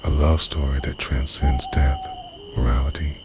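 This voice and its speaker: A man playing an obsessive, possessive immortal spirit lover in an intimate ASMR monologue, breathy and often whispering, with intense, dramatic phrasing. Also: rumbling low, huge pitch swings, normal speed, noisy background, exaggerated voice. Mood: ominous, yearning. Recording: loud night ambience of crickets, owls. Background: crickets, insects and hooting owl.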